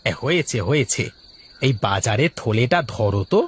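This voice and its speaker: A man's voice: medium pitch, animated, moderate pace, very noisy, natural voice. A man playing a humble, cheerful blind village fish seller in a cartoon, with an eager, simple, folksy manner. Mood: content. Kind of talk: cartoon voice acting.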